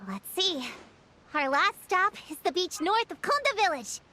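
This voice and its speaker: A woman's voice: extremely high pitch, sing-song, moderate pace, noisy background. A woman voicing an earnest, responsible young girl in a video game, matter-of-fact as she reasons things out. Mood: businesslike. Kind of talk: video game character acting. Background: loud game music.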